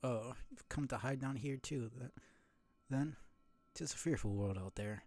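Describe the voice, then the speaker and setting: A man voicing a mellow, devout bug pilgrim. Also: mid-range voice, measured pace. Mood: hushed, devout. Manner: solemn, old-fashioned storybook phrasing. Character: old bug pilgrim, weary, devout, welcoming. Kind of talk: reading game dialogue aloud.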